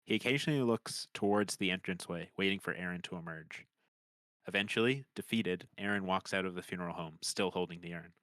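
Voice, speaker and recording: A man with a low voice narrates scene descriptions from a screenplay at a table read. The sound is dry, with no room echo, and the reading is scripted. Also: a little fast, a bit bright. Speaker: narrator reading stage directions.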